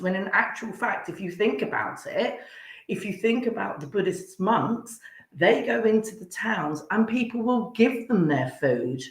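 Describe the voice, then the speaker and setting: A woman chatting casually as a podcast guest, blunt, irreverent and jokey. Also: mid-range voice. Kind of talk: casual chat. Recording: roomy, echoey sound.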